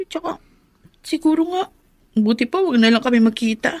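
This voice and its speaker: A young Filipino single mother, played by an actress in a radio drama. She is wistful, with a somewhat high voice. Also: expressive, normal speed, lightly acted. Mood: resigned.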